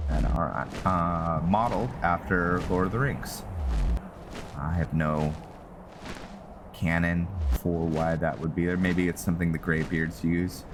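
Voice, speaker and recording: A man giving casual commentary on a roleplay game, rambling and thinking aloud as he plays. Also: sits low. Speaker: gaming channel host. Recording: clearly noisy background.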